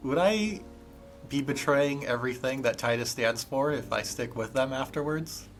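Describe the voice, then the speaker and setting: A man's voice: mid-range voice, moderate pace, faint music behind. A man talking casually as a player in a tabletop roleplaying game, drifting in and out of character.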